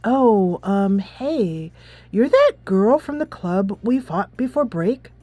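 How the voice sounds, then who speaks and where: A woman's voice: medium pitch, expressive, takes it slowly, no room sound. A woman voicing a sweet, anxious teenage schoolgirl in a game, hesitant, polite and apologetic. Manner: hesitant, polite, full of ums. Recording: soft music behind the voice.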